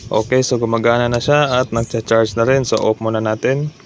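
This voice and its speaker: A man's voice: mid-range voice, steady tone, a little fast, a little hiss or hum. A man from the talks through a hands-on repair. He is informal and practical, explaining each step as he goes. English technical terms are mixed into his speech. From Philippines. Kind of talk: casual repair demo vlog.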